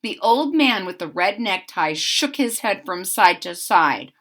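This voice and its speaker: A woman reading a children's picture book aloud, expressive, adding chatty asides for young listeners. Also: medium pitch, unhurried. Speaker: storybook reader.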